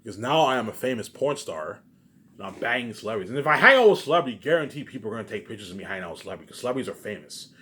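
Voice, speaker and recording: A man giving a rambling, unscripted, repetitive monologue to viewers, emphatic, with loud bursts that drop into muttered asides. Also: mid-range voice, expressive, racing. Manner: repetitive, circling back to same phrases. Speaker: online video maker.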